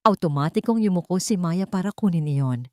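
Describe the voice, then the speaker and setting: Female story narrator, slightly low register, dry recording, scripted dramatic delivery. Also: expressive, a little fast, dry recording.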